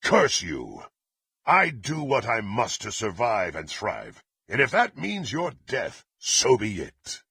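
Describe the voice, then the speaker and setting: A menacing fantasy game warrior, rough and rasping, speaking in haughty, archaic threats. His delivery is slow and theatrical, swinging dramatically up and down in pitch. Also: wildly swooping pitch, pushed and bright, no echo, heavily acted. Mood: furious, threatening. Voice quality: gravelly, raspy. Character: murderous, menacing, proud warrior serving a dark lord. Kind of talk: fantasy video game voice acting.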